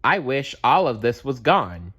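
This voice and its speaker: A man's voice: mid-range voice, big pitch swings, unhurried, a bit bright, slightly rough, pitched above the performer's own voice, heavily acted. A man voicing a scatterbrained, eager and apologetic bear in a children's storybook, rambling earnestly. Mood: regretful wishing.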